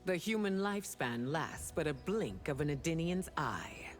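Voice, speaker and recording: An actress playing a regal, stern warrior empress and mother in a video game, formal and measured. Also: low-pitched, lively intonation. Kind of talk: video game character dialogue. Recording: loud music behind the dialogue. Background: music.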